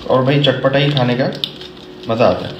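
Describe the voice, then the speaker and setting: A man's voice: mid-range voice, steady tone, normal speed, dull audio. A man in his forties talking to camera in his home kitchen, walking through the steps of a cooking vlog in a chatty way, mixing in English phrases and blessings. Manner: chatty, explains each step, sprinkles English phrases and Islamic blessings. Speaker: cooking vlogger. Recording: echoey kitchen with background noise.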